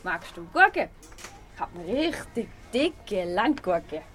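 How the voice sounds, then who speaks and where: A woman's voice: mid-range voice, very animated, slow pace, a bit bright. A woman playing a brash, sleazy, pushy young gangster rapper in a radio play. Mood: cocky, pushy. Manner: cocky, slangy, wheedling.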